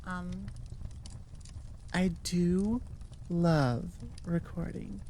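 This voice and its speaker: A woman's voice: fairly low pitch, drawn-out words, dark tone. Woman chatting casually over background noise. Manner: self-deprecating, wandering and tangential. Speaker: audio roleplay creator and channel host. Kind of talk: casual rambling outro chat. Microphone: sitting at a computer microphone, recording into audio software.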